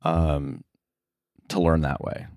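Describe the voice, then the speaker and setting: A man talking casual shop as an interview guest, halting and often circling back to rephrase himself. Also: rumbling low, fairly even intonation, creaky, darkish tone, no echo, studio quality. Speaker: interview guest, sound effects editor.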